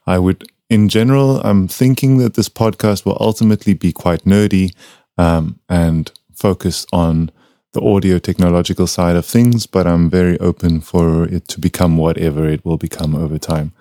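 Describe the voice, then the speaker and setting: A young man from South Africa hosting a casual podcast from his home studio, talking in a relaxed, rambling way with many fillers. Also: low voice, moderate pace, no room sound. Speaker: podcast host.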